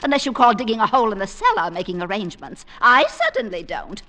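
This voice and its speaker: An actress playing a bossy, domineering middle-aged wife in an old-time radio drama, scolding and imperious. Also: female voice, mid-range voice, huge pitch swings, fast talker, sharp tone.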